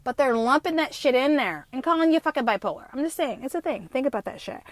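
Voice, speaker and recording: A woman in her fifties with a New Jersey accent at times, hosting an unscripted podcast monologue, talking fast, swearing freely and tagging her points with "right?". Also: high-pitched, expressive, no echo. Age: 50s. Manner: fast-talking, profane and rambling; emphatic, with frequent tag questions. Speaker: podcast host.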